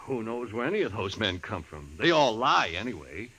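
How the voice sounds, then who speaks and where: An actor playing a prickly, self-righteous cattlemen's agent, stuffy and insistent, in an old-time radio western. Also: male voice, lowish voice, sing-song, normal speed, bright, forceful tone, breathy edge, exaggerated voice, low-quality recording. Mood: smug, dismissive. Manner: insistent, stuffy, flustered when challenged.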